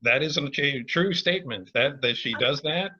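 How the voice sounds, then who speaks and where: A man in a casual talkback, speaking haltingly as a playwright with dry humor, on a lo-fi video call. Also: fairly high pitch, bright, forceful tone. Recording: dull lo-fi video-call audio.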